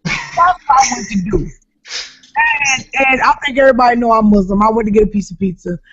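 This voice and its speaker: A woman from Jacksonville, Florida with a raspy voice, telling profane stories in casual chat as a comedian guest, often laughing loudly. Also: fairly high pitch, fast. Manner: brash, profane storytelling with loud laughter. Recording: dull lo-fi podcast audio.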